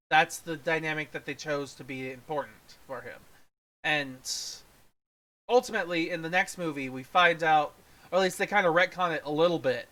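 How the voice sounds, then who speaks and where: A man with a fairly high, very bright voice in a casual review conversation. He rambles as a co-host and often leaves thoughts hanging halfway through. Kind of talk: casual film review chat.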